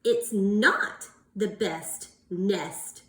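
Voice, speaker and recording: A woman voicing a discontented, bossy female bird in a children's storybook, with emphatic, drawn-out words. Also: medium pitch, reverberant, pitched below the performer's own voice. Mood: fed up. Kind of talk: storybook read-aloud for young children.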